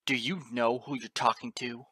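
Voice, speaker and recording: A cruel unicorn father from a fantasy audiobook, acted by a woman, snarling in a very low voice. He is menacing and quick to rage, with a forceful edge and a dry, close sound. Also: fairly even intonation, breathy edge, no echo, heavily acted. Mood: snarling, threatening. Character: angry, cruel adult male unicorn father. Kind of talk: dramatic audiobook character acting.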